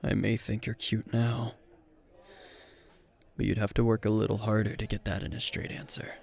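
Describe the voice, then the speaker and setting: A man acts out a weary hero teacher several drinks in, speaking low with a heavy gravelly fry. He is gruff and deadpan, yet dryly flirtatious, murmuring close to the listener in an anime-style ASMR roleplay. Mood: flirtatious, soft. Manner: low-key, dry, deadpan, unhurried. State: playing tipsy.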